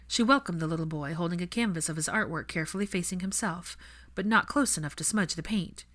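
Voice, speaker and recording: A woman's voice: fairly low pitch, fairly bright tone. A woman reading scripted fiction aloud as an audiobook narrator.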